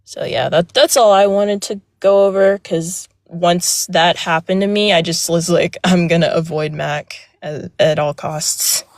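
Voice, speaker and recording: A young woman with a soft voice telling a personal story on a live panel, hesitant with many fillers and restarts, speaking from outdoors. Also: on the low side, fairly even intonation, unhurried, few pauses, no echo, clean studio sound. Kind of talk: casual personal account. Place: outdoors near a road.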